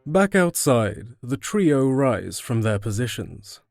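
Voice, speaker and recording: A man's voice: medium pitch, sing-song, slow pace, creaky, a little mellow, no echo, soft background music. A man reading scripted narration for an audio drama.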